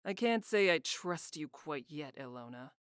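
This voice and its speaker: A man's voice: on the high side, lively intonation, moderate pace, a bit bright, smooth, dry recording, clean studio sound. A man voicing a suspicious, coldly threatening interrogator in a fantasy visual novel. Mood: cold menace.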